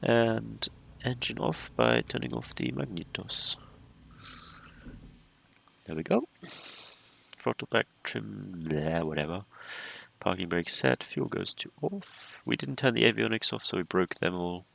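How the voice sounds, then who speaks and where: A man with a very deep voice and a strong gravelly fry talks casually through a simulated flight. He calls out flaps, speeds and checklist items one by one and drops light, playful asides along the way. A faint background hum sits under his voice. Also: sing-song, unhurried, long pauses, fairly bright tone. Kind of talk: casual gameplay commentary.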